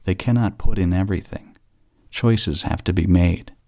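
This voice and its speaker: A man with a low-pitched voice reads study passages aloud. He phrases each sentence in a measured, deliberate way. The recording is dry, without room reflections. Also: vocal fry, dark tone, dry recording. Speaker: narrator of English test practice passages. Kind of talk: scripted read-aloud narration.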